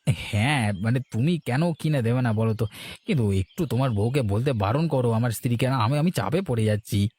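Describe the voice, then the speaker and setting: A man's voice: mid-range voice, lively intonation, a little fast, darkish tone, slightly rough. A man playing a harried, grumbling poor village peddler husband in a cartoon, complaining emphatically. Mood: worried. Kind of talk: cartoon voice acting, folk-tale dialogue.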